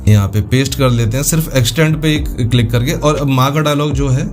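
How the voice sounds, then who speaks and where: A man's voice: mid-range voice, fairly quick, darkish tone. Informal male tutorial host over music. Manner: informal, explanatory, thinking aloud while demonstrating. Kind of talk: casual step-by-step software tutorial.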